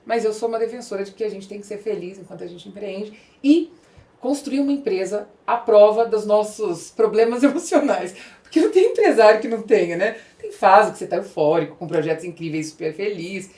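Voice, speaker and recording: A woman's voice: mid-range voice, expressive, a little fast, dark tone. A middle-aged woman with a Brazilian accent hosting a podcast, explaining her views in a conversational way. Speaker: podcast host, business growth specialist.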